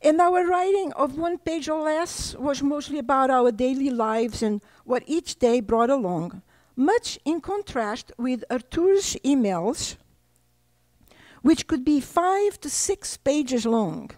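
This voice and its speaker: An older woman from Portugal, whose first language is Portuguese, reads aloud from her own book at a library talk. Her voice sits high but mellow, and her pace is unhurried. The sound is dry and close. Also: lively intonation, no echo. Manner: slow, deliberate reading. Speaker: author. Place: library presentation room.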